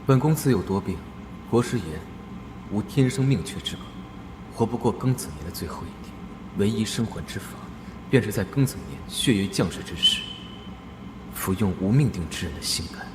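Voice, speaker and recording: A man's voice: fairly low pitch, expressive, normal speed, background noise, lightly acted. A man voicing a sickly young crown prince who speaks in solemn, regretful tones. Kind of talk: animated drama dubbing. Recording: echoey with long reverb.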